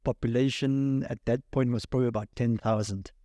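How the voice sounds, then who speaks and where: A man's voice: mid-range voice, steady tone, a little fast, warm tone, no room sound, clean studio sound. A middle-aged man with a Southern African accent, chatting casually as a podcast guest and telling his own story. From Cape Town and Namibia. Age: 40s or 50s. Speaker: podcast guest, sound engineer.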